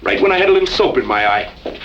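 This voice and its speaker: Sly, lively western gunslinger. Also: male voice, high voice, fast, heavily acted. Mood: sly, mocking. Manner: mocking, drawling feigned innocence. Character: sly, taunting cow thief gunman in the Old West. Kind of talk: old time radio western acting. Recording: muffled, noisy old radio recording.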